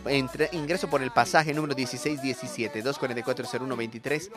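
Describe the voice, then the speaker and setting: A man's voice: medium pitch, steady tone, fast, over music. A young man with a Bolivian accent hosting a casual, comic radio show.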